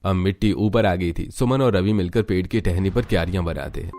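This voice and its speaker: Low-pitched male storyteller over faint music. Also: brisk, darkish tone. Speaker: story narrator. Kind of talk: scripted cartoon story narration.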